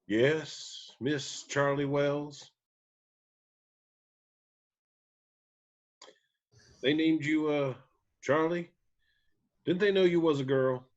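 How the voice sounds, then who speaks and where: A man's voice: fairly high pitch, fairly even intonation, slow pace, lo-fi sound. A man reading an easygoing, teasing country band singer with folksy phrasing in a screenplay table read. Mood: wry.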